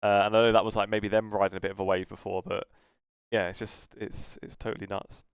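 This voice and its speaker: A man's voice: on the low side, flat delivery, brisk, clean tone, dry recording, studio quality. A man with a British accent co-hosting a casual esports podcast, talking in a rambling, hesitant way that trails off. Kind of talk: casual esports news discussion.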